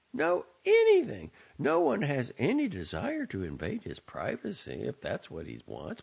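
A man's voice: high voice, gravelly, husky, pitched above the performer's own voice, heavily acted. A man reading aloud and voicing a fussy, fretful middle-aged mother who scolds and worries. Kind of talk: audiobook character voice. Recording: dull lo-fi recording.

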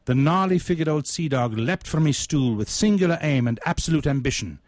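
A man reading his own literary short story aloud in a deliberate, measured, ornate manner. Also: fairly high pitch, lively intonation, no room sound, studio quality.

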